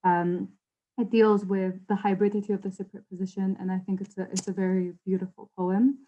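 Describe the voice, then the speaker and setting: An earnest woman on a video-call panel, thinking aloud with loose, conversational phrasing. Her pitch sits at a middle level for a woman. Her delivery is open and sincere. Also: flat delivery, a little fast, dark tone, dull audio. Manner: earnest, searching phrasing with frequent "like". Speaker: panelist and poetry reader. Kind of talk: casual panel discussion over video call.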